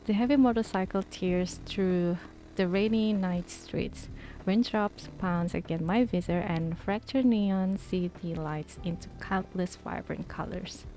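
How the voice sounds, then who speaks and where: A woman with a mellow, soft-edged voice reads the game's story aloud, then drifts into casual, thinking-aloud chat with her viewers. Faint music sits under her voice. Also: lowish voice, measured pace, rough recording. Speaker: streamer. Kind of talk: reading game text aloud and chatting with viewers.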